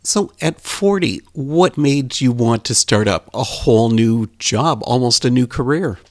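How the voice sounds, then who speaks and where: A man's voice: mid-range voice, lively intonation, a little slow, dry recording. A man asks questions in a friendly, chatty manner, his voice in a middle range. The sound is dry and close. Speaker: interviewer. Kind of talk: casual interview questions.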